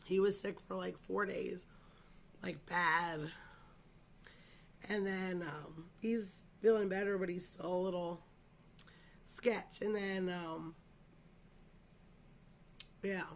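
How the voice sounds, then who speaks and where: A woman with a cold and a raspy, scratchy voice, hosting a craft channel in a rambling, chatty way and apologising often, full of fillers. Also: on the low side, fairly even intonation, slow, pauses often. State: hoarse from a cold. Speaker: crochet channel host. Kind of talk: casual chat to camera.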